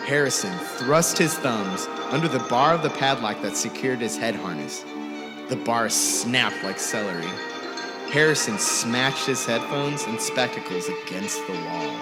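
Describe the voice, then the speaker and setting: Man narrating dramatically over music. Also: mid-range voice. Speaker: teacher reading aloud. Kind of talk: dramatic scripted story narration. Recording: music score under the voice. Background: music score.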